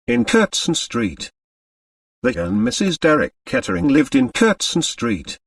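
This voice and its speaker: A man's voice: mid-range voice, no room sound, recorded from a distance. A man reading a novel aloud as scripted, dramatic audiobook narration.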